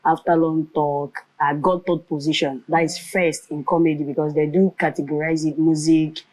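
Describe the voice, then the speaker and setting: A woman's voice: low-pitched, little pitch movement, moderate pace, a little mellow, rough recording. A woman in her thirties with a Nigerian Igbo accent, telling her story in a conversational interview. Her first language is Igbo, and she mixes Pidgin and Igbo into her English. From Nigeria, Nkanu land. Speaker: comedian and radio presenter, interview guest.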